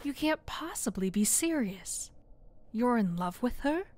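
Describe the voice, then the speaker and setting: An actress playing an indignant, alarmed young-sounding goddess in an audio drama, urgent and incredulous. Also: female voice, on the high side, big pitch swings, a little slow, darkish tone.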